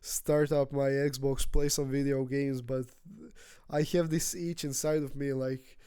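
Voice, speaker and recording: A man in his twenties, not a native English speaker, talking through his feelings in a rambling, halting personal vlog with frequent restarts. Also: medium pitch, flat delivery, mellow, clean tone, no echo, clean studio sound. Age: 20s. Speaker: vlogger. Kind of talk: casual personal vlog monologue.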